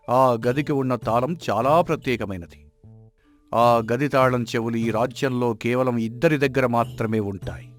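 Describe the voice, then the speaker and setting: A man playing a wise blind king in a folk tale, questioning deliberately with pointed sarcasm. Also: medium pitch, close to an everyday voice. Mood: probing. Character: wise blind king, shrewd and righteous father. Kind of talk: dramatised Telugu folk tale acting.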